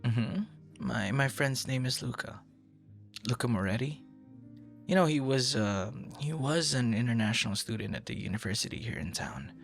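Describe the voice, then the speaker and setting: A man performing an ASMR roleplay as an earnest, easily flustered young stranger, talking conversationally with hesitant repeats and hushed asides. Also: medium pitch, gravelly, faint music behind, natural voice. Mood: surprised, curious. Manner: hesitant, halting, soft-spoken asides, repeats words.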